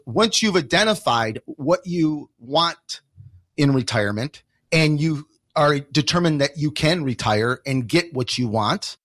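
A man's voice: on the high side, fairly bright tone, no echo. A man with an American accent explaining things on a radio show as an educator, emphatic, with repeated points and rhetorical questions. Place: radio studio.

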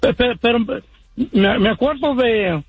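A man with a Mexican accent calling in to a sports talk show, rambling and halting in a friendly way. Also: very high voice, slightly rough, dry recording, dull audio. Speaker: caller. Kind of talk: casual phone-in chat.

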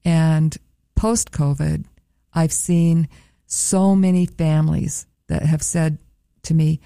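A woman with a low, mellow voice that has a gravelly edge talks up a museum's events and prices in a friendly, promotional way. The sound is dry and close, with no room echo. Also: fairly even intonation, slow. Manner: upbeat, promotional, careful over a foreign word. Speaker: museum staff guest. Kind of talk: radio interview chat.

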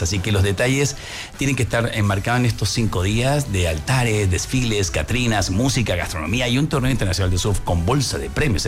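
A Mexican man hosting a radio show, chatting casually and reading announcements in an animated, talkative way. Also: on the low side. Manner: animated, chatty, quick asides. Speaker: radio host.

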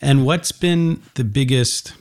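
A man's voice: mid-range voice, takes it slowly. A man speaking as an encouraging, complimentary host, asking simple guiding questions in a conversational broadcast interview. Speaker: host interviewing a young musician.

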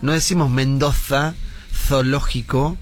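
A man's voice: mid-range voice, fairly even intonation, a little slow, faint music behind. A man with an Argentine accent, hosting a joking studio chat and firing off playful, teasing questions. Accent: Argentine Spanish. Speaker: host.

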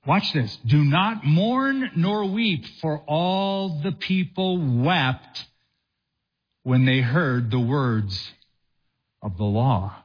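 A male pastor delivers a sermon in a fairly high, husky voice. He phrases things deliberately and emphatically, often posing rhetorical questions and taking his time. The recording is a little dull and muffled. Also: expressive. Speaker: pastor preaching. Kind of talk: expository sermon to a congregation.

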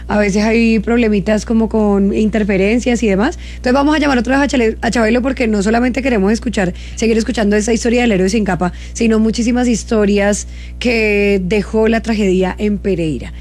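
A woman's voice: a little high, fairly even intonation, brisk, a little mellow. A woman from Cali with a Colombian accent, chatting casually as a live radio host. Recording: music playing behind the voice. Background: background music.

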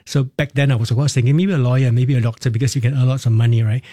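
Mellow-voiced Singaporean man, reflective. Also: medium pitch, steady tone, rapid-fire, no room sound, clean studio sound. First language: Cantonese. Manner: reflective, laughs easily, speaks in short restarting phrases. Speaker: interview guest, musical theatre performer. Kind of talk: candid personal storytelling.

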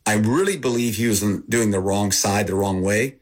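A man raised in Thailand with Thai as his first language, giving an earnest, halting personal testimony as an interview guest. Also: lowish voice. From Texas, raised in Thailand. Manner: earnest, halting, repeats words when emotional.

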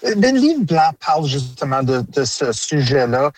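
A man's voice: fairly high pitch, big pitch swings, moderate pace. A man speaking as an interview guest over a video call from a car, explaining things earnestly with frequent restarts. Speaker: guest, organization director. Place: in a car on the highway.

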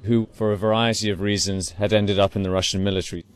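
A man's voice: fairly low pitch, level tone, quick pace. A man working as a foreign correspondent, giving a conversational news report.

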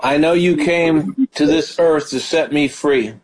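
A man's voice: on the high side, a little slow, darkish tone, rough recording. A man hosting a call-in prayer show as pastor, talking with callers and praying fervently with many hallelujahs. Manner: fervent, prays aloud with hallelujahs. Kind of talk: call-in ministry talk and prayer.

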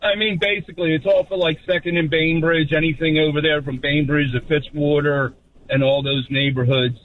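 A man's voice: on the high side, steady tone, brisk. A man, chatting casually but insistently as a radio caller over the phone. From Philadelphia, US. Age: 50s. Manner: insistent, blunt. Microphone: phone call into radio show. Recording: muffled narrow-band phone call.

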